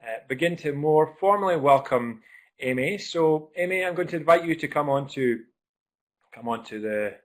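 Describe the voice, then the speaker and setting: A man's voice: on the high side, animated, moderate pace. A man with a Scottish accent hosting a live webinar on a video call, chatty and upbeat, with frequent ums and uhs. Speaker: webinar host.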